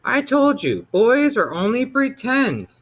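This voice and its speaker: A fed-up monster mother from a storybook, played by a man, high and sing-song. She scolds in a swooping voice that climbs even higher as her patience runs out. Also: slow pace, heavily acted. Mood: dismissive.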